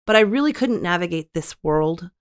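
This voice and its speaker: A woman's voice: on the low side, lively intonation, normal speed, no room sound, studio quality. A woman in her forties, a guest author, speaking candidly and reflectively in an interview, often correcting herself mid-sentence. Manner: earnest, reflective, frequent self-corrections.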